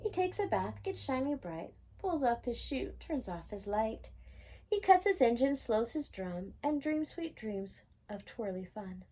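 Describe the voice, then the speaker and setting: Slow, mellow woman reading a bedtime story. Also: on the high side, big pitch swings, a little hiss or hum. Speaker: children's storytime reader. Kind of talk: read-aloud children's bedtime picture book narration.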